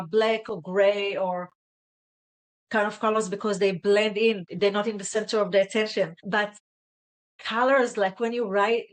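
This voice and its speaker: A middle-aged woman from Israel with an Israeli accent, talking earnestly and haltingly as a guest, often tagging "right?". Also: medium pitch. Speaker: guest, fashion stylist. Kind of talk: casual interview chat.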